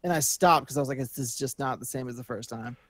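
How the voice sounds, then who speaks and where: A man's voice: medium pitch, quick pace, crowd noise. A man co-hosting a gaming podcast, chatting casually. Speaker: podcast co-host.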